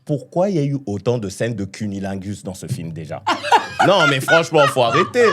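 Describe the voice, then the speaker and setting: A young man co-hosting a podcast, joking and expressive in casual chat. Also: mid-range voice, brisk, very noisy. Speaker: podcast co-host.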